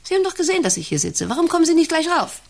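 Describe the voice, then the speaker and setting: A woman's voice: very high-pitched, very animated, racing, gravelly, darkish tone, heavily acted. An actress in a German radio play playing an imperious, obsessive female factory boss with a haughty, commanding, brooding manner. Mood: irritated, haughty.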